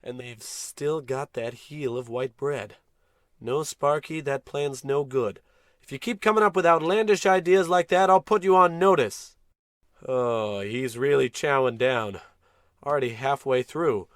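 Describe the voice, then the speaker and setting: A man's voice: mid-range voice, unhurried, fairly bright tone, dry recording, clean studio sound, lightly acted. A man playing a bumbling, pompous American space captain in a melodramatic old-time radio comedy style. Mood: bossy, dismissive.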